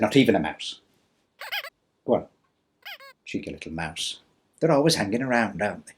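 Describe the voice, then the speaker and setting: A man as animated, cheeky pantomime dame storyteller. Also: lowish voice, slightly rough. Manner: playful, chatty, exaggerated delivery. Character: cheeky, tipsy old pantomime dame telling a Christmas poem. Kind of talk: theatrical comic poem reading with chatty asides.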